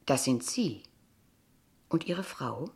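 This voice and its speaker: A woman playing a polite but insistent, quietly disapproving kindergarten teacher in a radio play, asking formal, probing questions. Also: a bass voice, expressive, slow, heavily acted. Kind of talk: dialogue radio play acting in standard German.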